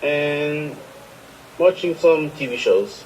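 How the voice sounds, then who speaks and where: A man in his thirties introducing himself as a podcast guest in a slow, deliberate way. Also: a little high, lively intonation, a little mellow, slight background noise, rough recording. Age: 30s.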